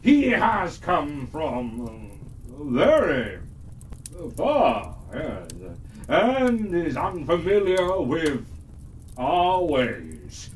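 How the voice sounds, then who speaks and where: A storyteller's put-on very slow, halting old male wizard. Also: mid-range voice, huge pitch swings, recorded from a distance, slight background noise, pitched below the performer's own voice, full character voice. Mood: soothing, hesitant. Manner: halting, hesitant, with drawn-out pauses. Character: kindly old male wizard, calm, reasoning, diplomatic. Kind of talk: children's fairytale storytelling.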